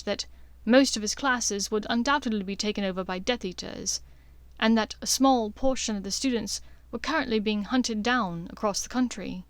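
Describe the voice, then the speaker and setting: A woman's voice: medium pitch, no echo. A woman reading scripted fiction as an audiobook narrator.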